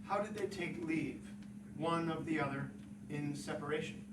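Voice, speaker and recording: Man, dramatic literary reader, far from mic, loud background noise. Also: a little high, moderate pace, reverberant. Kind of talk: dramatic reading of posed questions.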